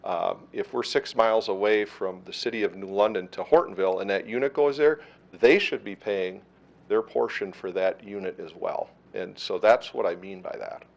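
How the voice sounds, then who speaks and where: A middle-aged man who runs an ambulance service, answering questions at a council meeting in a steady, reassuring way with frequent fillers. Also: lowish voice, moderate pace, vocal fry, lo-fi sound.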